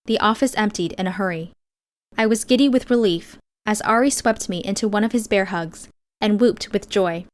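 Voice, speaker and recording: A woman with a medium-pitched voice reads first-person fiction as an audiobook narrator. Her storytelling is measured and expressive.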